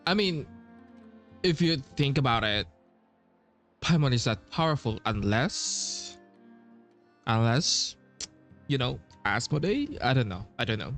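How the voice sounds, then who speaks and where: A man's voice: mid-range voice, big pitch swings, unhurried, pauses often, a bit bright, soft background music. A man giving casual playthrough commentary on a video game, musing hesitantly and repeating himself. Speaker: game streamer.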